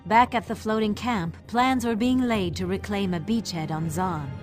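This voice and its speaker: Solemn woman narrator over music. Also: mid-range voice. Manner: measured, solemn storytelling. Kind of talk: scripted dramatic fantasy game narration.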